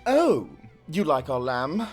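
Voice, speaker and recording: A man's voice: fairly high pitch, very animated, slow pace, soft background music. A man playing a suave, courtly vampire guest in an audio drama, breezy and polite with cheerful menace. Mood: pleased. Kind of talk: cinematic audio roleplay acting.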